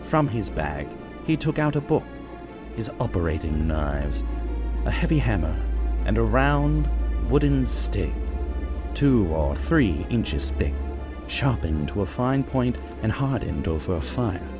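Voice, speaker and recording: An actor playing a haunted, skeptical doctor who recounts horrors in a measured, dramatic vintage radio style. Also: male voice, on the low side, sing-song, over music. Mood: fearful, dramatic.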